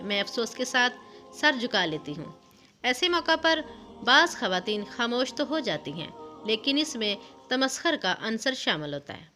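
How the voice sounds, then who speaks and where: Bright-voiced, assured elderly wife played by a woman. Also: fairly high pitch, heavily acted. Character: elderly contented wife, wise, patient, advising. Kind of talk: storybook narration of interview answers. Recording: music under the voice.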